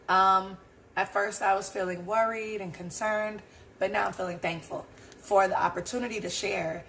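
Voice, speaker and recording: Hoarse woman musing on her podcast. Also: mid-range voice, fairly bright tone, slight background noise, poor audio. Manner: rambling and earnest, with fillers. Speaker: podcast host. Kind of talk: casual solo reflection.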